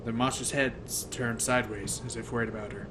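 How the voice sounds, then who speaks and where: A man's voice: mid-range voice, steady tone, fast. Man reading a novel aloud, noisy recording. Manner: stumbles and rereads phrases. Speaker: audiobook reader. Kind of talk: first-person novel narration. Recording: noticeable background noise.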